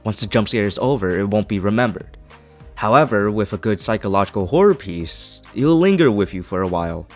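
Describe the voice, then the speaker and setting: A man's voice: lowish voice, lively intonation, brisk, faint music behind. A man reading a scripted video essay as its narrator, with an opinionated, critical manner.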